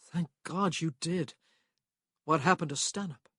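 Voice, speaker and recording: Woman voicing an ardent young gentleman, emphatic, husky, dry and close. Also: sits low, unhurried, dry recording, heavily acted. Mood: earnest. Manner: earnest, emphatic.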